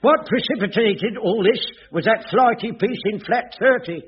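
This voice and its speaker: A man's voice: high-pitched, normal speed, husky. An older man playing a glum, put-upon caretaker in a 1980s radio sitcom, stammering and complaining hesitantly. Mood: aggrieved. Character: gloomy, put-upon old male caretaker of a block of flats. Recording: dull old radio recording, faint hiss, audience. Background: studio audience.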